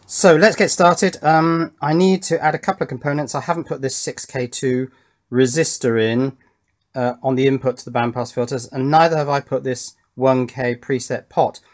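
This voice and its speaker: A man's voice: mid-range voice, steady tone, normal speed. A man hosting an electronics build video, giving casual hands-on commentary and thinking aloud as he works. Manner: thinking aloud while working. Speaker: host of an electronics build channel. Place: indoor workbench.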